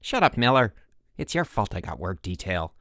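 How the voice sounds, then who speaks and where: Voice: sing-song, normal speed, fairly bright tone, dry recording, studio quality. A narrator voicing a whiny, hot-tempered tattletale boy at summer camp in a story reading, indignant and screechy. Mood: indignant, angry. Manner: shrill, screaming, indignant.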